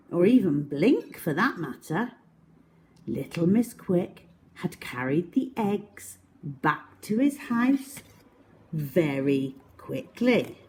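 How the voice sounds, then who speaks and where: A woman reading a picture book to young children as a storyteller, with dramatic pauses and emphasis, speaking directly to her listeners. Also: mid-range voice, dark tone, slight background noise.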